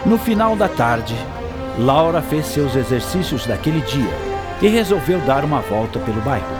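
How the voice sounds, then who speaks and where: A man's voice: mid-range voice, sing-song, moderate pace. A man narrating a radio drama as a scripted storyteller. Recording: loud music under narration.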